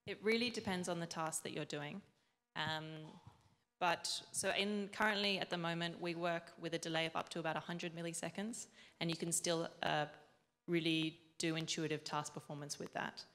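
A woman's voice: on the low side, steady tone, a bit bright. Young woman presenting in an echoing hall. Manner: clear explanatory, quick self-corrections. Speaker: robotics engineer giving a talk. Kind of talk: conference presentation. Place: large event hall with audience. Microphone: handheld mic. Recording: long hall reverb.

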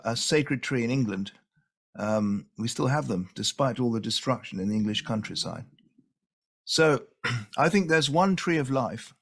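An older man from Venezuela giving a presentation over a video call from his home, speaking softly and unhurriedly, often repeating a word as he thinks. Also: mid-range voice. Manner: soft, unhurried, repeats words while thinking. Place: home room with window.

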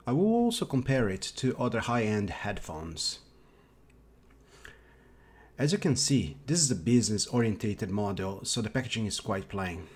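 A man in his thirties giving a casual tech product review with hesitant, halting phrasing. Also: on the low side, expressive, darkish tone. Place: small home office, indoors.

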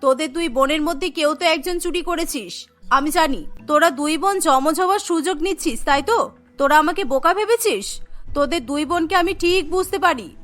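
A woman's voice: sits high, normal speed, fairly bright tone. A woman voicing a harsh, suspicious female employer in a cartoon, scolding and accusing. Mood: angry, accusing. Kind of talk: cartoon story dialogue.

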